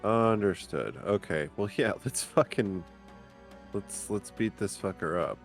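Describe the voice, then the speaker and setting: Deep, gravelly, animated man. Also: measured pace, soft background music. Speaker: tabletop game player. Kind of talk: casual gaming chat, sweary.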